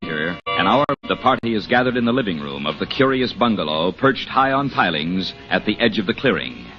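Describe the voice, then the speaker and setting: A dramatic male announcer of 1940s radio, reading a script with wide swings of pitch. His delivery is emphatic. The old recording is muffled, with clear music behind. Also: very animated, fairly quick.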